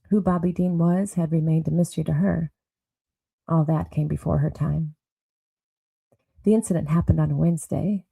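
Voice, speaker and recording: A woman narrating a noir audio drama with brooding, deliberate storytelling. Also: deep voice, vocal fry, mellow, husky, no echo, rough recording.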